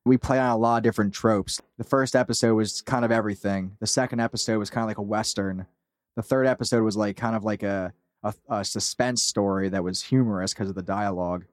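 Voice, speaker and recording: A man giving a casual interview as a podcast creator, informal and rambling, with animated asides. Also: on the low side, fast talker.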